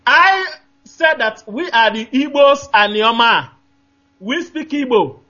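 Nigerian man, high voice, repeats himself emphatically, dull lo-fi line. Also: animated, slow, sharp tone. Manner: emphatic, repetitive, gropes for names with fillers. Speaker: caller. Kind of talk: call-in radio talk.

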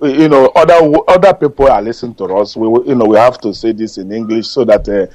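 A middle-aged Nigerian man whose first language is Igbo, calling in to a radio show and speaking haltingly with many fillers and repeated words. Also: medium pitch, darkish tone, no room sound. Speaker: radio caller. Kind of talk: call-in radio talk. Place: Germany.

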